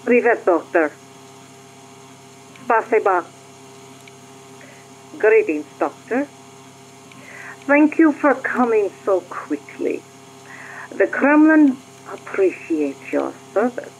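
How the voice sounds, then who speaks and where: An actress playing a brisk Russian KGB mole with a Russian accent in a Cold War radio play. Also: female voice, mid-range voice, very animated. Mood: businesslike, inquiring. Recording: muffled hissy spy tape with hum.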